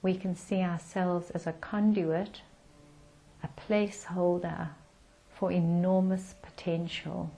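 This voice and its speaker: A woman leading a guided meditation in a calm, soothing manner with gentle pauses. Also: on the low side, vocal fry, poor audio.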